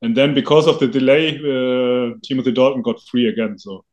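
A man co-hosting a podcast, chatting casually and haltingly with many fillers and restarts. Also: mid-range voice, fairly even intonation, darkish tone, lo-fi sound. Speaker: podcast co-host. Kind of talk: casual chat.

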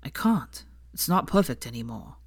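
A woman's voice: extremely low pitch, very animated, moderate pace, slightly rough, no echo, studio quality, voice pushed down, full character voice. A woman voicing an anxious, stubborn young man in love, self-conscious and easily flustered, in an audiobook-style story reading. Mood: miserable, sulky.

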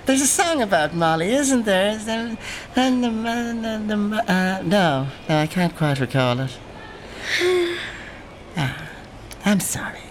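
An actor playing a gentle, chatty old Irishman who rambles and muses in an audio drama. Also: high-pitched, sing-song, a little slow, slight background noise. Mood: gently sympathetic. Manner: rambling, musing, tag questions. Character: gentle, chatty, ghostly old fellow narrating and watching passengers.

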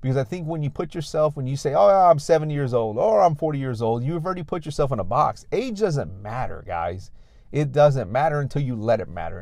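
An American man with a mid-pitched voice delivers a motivational fitness talk as a coach. He is emphatic and exhorting, repeating key phrases to drive them home. The sound is dry, with very little room to it. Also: a little mellow, no room sound. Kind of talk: motivational talk to an audience online.

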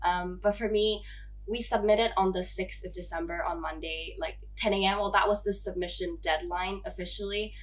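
A woman's voice: mid-range voice, steady tone, normal speed, soft background music. A young woman from Hong Kong hosting a podcast, chatting casually with lots of "like" fillers and frequent laughs. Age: young adult. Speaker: podcast host. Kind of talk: casual chat.

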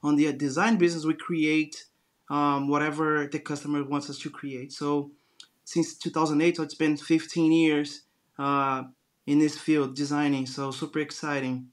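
Brazilian man, forties, earnest guest, slightly high voice, Brazilian accent. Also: flat delivery, moderate pace. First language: Portuguese. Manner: earnest, storytelling, frequent restarts. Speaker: guest entrepreneur. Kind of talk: casual interview conversation.

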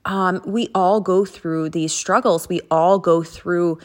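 A woman's voice: lowish voice, steady tone, takes it slowly. A young woman hosting a casual chat and prayer podcast, congested from a head cold, talking in a rambling, chatty way with lots of fillers. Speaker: podcast host.

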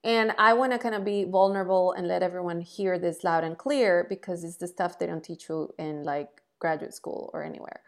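A woman's voice: lowish voice, brisk, few pauses. A woman in her forties from Colombia, speaking English, talks as an interview guest. She tells her story frankly and with energy, and pokes a little fun at herself along the way. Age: 40s. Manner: lively, frank, self-deprecating. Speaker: guest, entrepreneur.

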